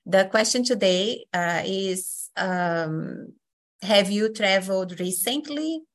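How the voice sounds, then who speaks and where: Slow-talking Brazilian-accented woman. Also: medium pitch, animated. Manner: friendly, unhurried, many fillers. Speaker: session facilitator. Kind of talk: hosting an online session.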